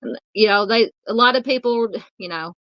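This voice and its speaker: A Southern woman hosts a casual livestream, rambling warmly through comments with plenty of ums and uhs. Her voice sounds tired and on the edge of giving out. The sound is a bit dull and muffled, with a dry, close feel and no room echo. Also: fairly high pitch, normal speed. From Southern United States. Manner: rambling, chatty, many fillers. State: losing her voice. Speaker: true crime livestream host.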